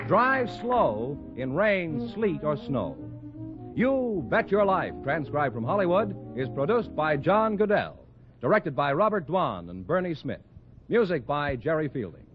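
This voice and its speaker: A man's voice: a little high, very animated, a little slow, faint music behind. An American man reading scripted announcements and sponsor copy in 1940s-50s radio style. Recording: dull vintage radio broadcast recording.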